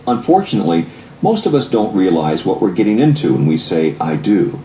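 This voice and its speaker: A man reading scripted audiobook narration in a measured, explanatory way. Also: sits low, very animated, warm tone. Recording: echoey room, faint background noise.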